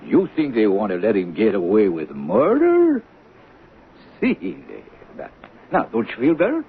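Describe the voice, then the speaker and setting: A man's voice: fairly low pitch, huge pitch swings, measured pace, gravelly, full character voice. An actor playing a streetwise working-class man, coaxing and slangy, placating his partner in a 1970s radio drama. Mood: coaxing, explanatory. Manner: coaxing, hesitant, slangy, ungrammatical. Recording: noisy, tinny 1970s radio broadcast recording.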